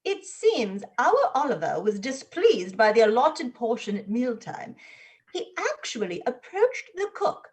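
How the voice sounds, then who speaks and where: A woman's voice: on the high side, sing-song, unhurried, darkish tone. A woman playing a cruel orphanage matron, obsequious and affected, in a theatrical stage play reading. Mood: proudly cruel.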